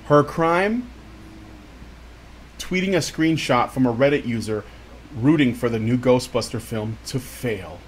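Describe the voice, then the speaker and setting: A man's voice: mid-range voice, unhurried, long pauses, a little hiss or hum. A man reading an article aloud in a dramatic storytime style, with theatrical, exaggerated emphasis.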